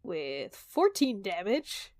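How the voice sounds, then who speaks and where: A woman's voice: mid-range voice, big pitch swings, slow, a little mellow, no echo. A woman playing a tabletop game, casually describing her moves.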